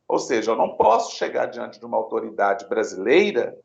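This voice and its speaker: Gravelly Brazilian man, muffled echoey sound. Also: medium pitch, sing-song, darkish tone. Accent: Brazilian Portuguese. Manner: deliberate, tag questions like "né?" and "tá?". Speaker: lawyer interviewed on Italian citizenship law. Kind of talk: explanatory legal talk.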